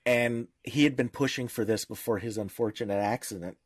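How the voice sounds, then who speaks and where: A man's voice: mid-range voice, fairly quick, no echo, clean studio sound. A man speaking as a podcast panelist in informal discussion, explaining in a didactic way with examples.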